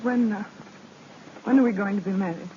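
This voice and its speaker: A woman's voice: medium pitch, a little fast, natural voice. An actress playing an anxious, refined married New York society woman in an old radio drama. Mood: hesitant, tender. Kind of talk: 1930s-40s radio drama acting. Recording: muffled old radio broadcast, audience noise.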